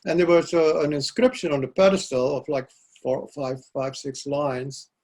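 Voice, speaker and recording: An older man whose first language is Dutch, a poet being interviewed, speaking reflectively and pausing often to search for words. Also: on the high side, darkish tone. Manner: halting, searching for words, frequent restarts. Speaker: poet guest.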